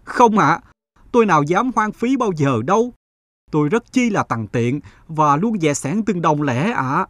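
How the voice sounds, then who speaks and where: A man voicing a whining, scheming young beggar who pleads and flatters in a cartoon morality tale. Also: on the high side, measured pace, no room sound, studio quality. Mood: pleading. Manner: pleading, ingratiating.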